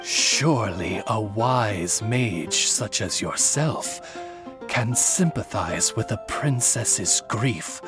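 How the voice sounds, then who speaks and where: Posh butler-type familiar for a video game, lowish slow voice, really formal and polite, music behind. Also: male voice, lively intonation. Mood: solemn, pleading. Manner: formal, deferential, verbose, refined. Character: courtly male familiar, devoted servant to a princess. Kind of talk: video game character acting.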